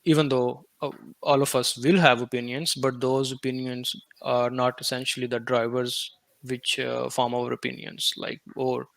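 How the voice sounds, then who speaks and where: A young man from Pakistan hosting a casual podcast discussion, talking haltingly with many fillers and switching between English and Hindi-Urdu. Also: mid-range voice, flat delivery, creaky, a bit bright, dry recording. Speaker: podcast host.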